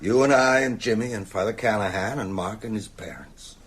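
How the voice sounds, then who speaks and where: A man's voice: lowish voice, normal speed, husky. An actor playing a bedridden, shrewd man who urges on vampire hunters with emphatic, oratorical speech in a radio drama. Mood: grave, brooding. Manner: emphatic, rhetorical, oratorical delivery. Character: bedridden male vampire hunter, shrewd, commanding, urgent strategist.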